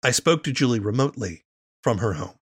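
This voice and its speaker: A man's voice: mid-range voice, lively intonation, a little fast, breathy edge. A man hosting a podcast in a scripted, presenting style as he introduces and interviews. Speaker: podcast host.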